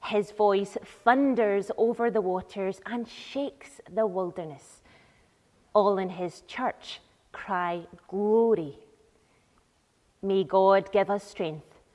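Young female worship leader, unhurried and deliberate, mid-pitched, recorded with long church reverb. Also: darkish tone. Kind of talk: leading worship, welcome and prayer. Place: large church interior, at the lectern.

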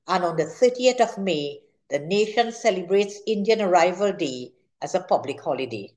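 A woman's voice: medium pitch, darkish tone. An older woman with a Trinidadian accent, reading a prepared literary speech in a formal, careful way. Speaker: author giving a literary talk. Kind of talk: prepared speech read aloud. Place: indoor room at home, seated at a table.

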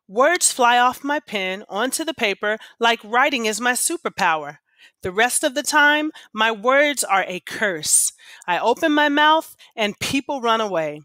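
A woman teacher reading aloud to students with an expressive, deliberate storytelling delivery. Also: on the high side, dry recording. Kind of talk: expressive read-aloud of children's poems and class instructions.

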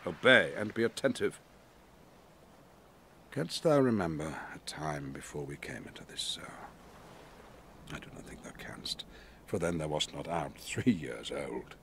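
A man playing a commanding, fatherly exiled duke and magician in a Shakespearean audio drama, emphatic and moving between hushed and loud. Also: rumbling low, animated, a little slow, vocal fry, pauses often, slight background noise, full character voice. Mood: solemn, tender.

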